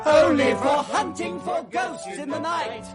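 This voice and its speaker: A woman's voice: high voice, animated, unhurried. A woman playing a music-hall singer, performing a comic song in a radio drama. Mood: playful.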